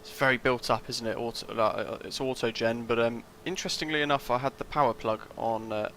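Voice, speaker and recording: A man's voice: mid-range voice, steady tone, brisk, bright, forceful tone, a little hiss or hum. A young British man casually commenting on a live flight sim stream, rambling with lots of fillers and answering chat.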